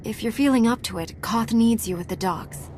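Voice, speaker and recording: A woman's voice: a little high, moderate pace, a little mellow, slight background noise, lightly acted. A woman playing a commanding, level-headed heroine with dry wit in a video game. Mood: calm. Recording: music behind the voice.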